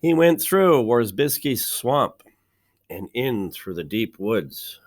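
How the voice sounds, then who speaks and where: A man reading a children's storybook aloud like a teacher, with deliberate phrasing, dramatic pauses and emphasis. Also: mid-range voice, sing-song, a little hiss or hum. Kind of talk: expressive children's storybook narration.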